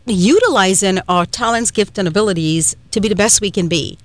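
A woman's voice: mid-range voice, a little fast, dry recording. A woman from Trinidad hosting a radio talk show, chatty and emphatic, with frequent fillers. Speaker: radio host. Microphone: studio microphone.

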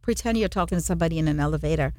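A woman from Trinidad and Tobago hosting a radio show, chatting warmly and telling stories to draw out her guest. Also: low voice, very fast. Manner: chatty, storytelling, encouraging. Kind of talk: casual radio interview.

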